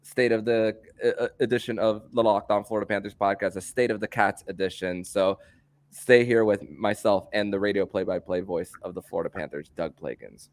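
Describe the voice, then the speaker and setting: Deep voiced guy hosting a sports podcast, halting delivery, repeats his words a lot. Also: steady tone.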